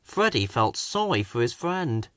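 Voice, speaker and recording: Unhurried, expressive man narrating a storybook. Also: medium pitch, clear, resonant tone, no room sound, studio quality. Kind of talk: scripted children's story narration.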